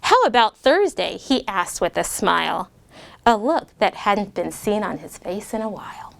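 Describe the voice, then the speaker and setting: A middle-aged woman reads a rhyming picture book aloud to schoolchildren, lively and expressive, and stops now and then to chat with the kids. Her pitch sits in the middle of the usual range for a woman. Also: a little slow, gravelly. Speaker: guest reader and parent. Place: school classroom with children.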